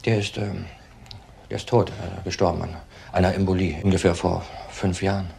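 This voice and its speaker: An actor playing an agitated, evasive husband in his thirties in a crime drama, speaking haltingly and repeating words. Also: sits low, level tone, gravelly, a little mellow, a little hiss or hum. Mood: evasive, subdued. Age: 30s. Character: young widower husband, agitated, evasive under questioning. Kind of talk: German crime drama acting.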